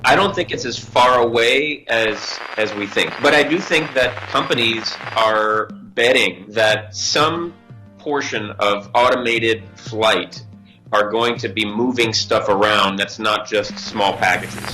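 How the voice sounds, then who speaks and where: An interviewed male expert speaks slowly and haltingly in a slightly low voice. Music runs clearly behind his unscripted answers. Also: low-quality recording.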